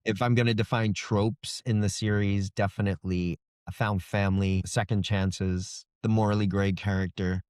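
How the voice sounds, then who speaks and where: Low-voiced man talking as an interviewed author. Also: fairly even intonation, moderate pace, a little mellow, clear, resonant tone, no room sound, clean studio sound. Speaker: author being interviewed about his book. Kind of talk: conversational interview, explaining his writing.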